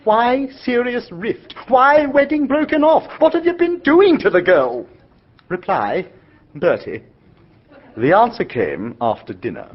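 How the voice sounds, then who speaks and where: Man playing a young toff narrator, chatty, sing-song, husky, dull lo-fi sound. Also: very high-pitched, sing-song, a little slow, full character voice. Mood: breezy. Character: young English gentleman, breezy, flustered, narrating. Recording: dull lo-fi recording.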